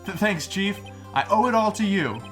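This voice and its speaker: A man's voice: sits high, lively intonation, moderate pace, fairly bright tone, soft background music. A man voicing an earnest, awkward young male lawyer in a fan-dubbed game, hesitant and a little wry. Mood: relieved. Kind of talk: fan-dub video game dialogue and inner narration.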